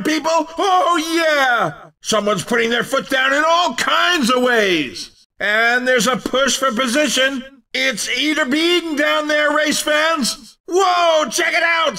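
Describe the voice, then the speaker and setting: Super high excitable racing game commentator, cheeky and hammy, sharp forceful voice. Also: male voice, distant mic, exaggerated voice. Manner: animated, hammy, teasing. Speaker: race commentator.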